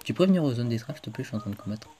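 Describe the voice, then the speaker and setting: A man's voice: mid-range voice, animated, very fast, mellow, faint music behind. A man hosting a casual let's play video, chatting to viewers as he plays, with a stuffed-up cold. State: congested with a cold. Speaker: gaming video host.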